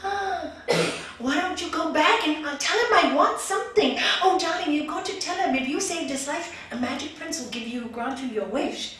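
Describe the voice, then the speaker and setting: A woman's voice: a little high, fairly quick, few pauses, a little hiss or hum, lightly acted. A woman voicing a fisherman's restless, wheedling wife in a told folk tale, coaxing and insistent. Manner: coaxing, insistent, repeating her wishes. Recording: very echoey room, distant mic.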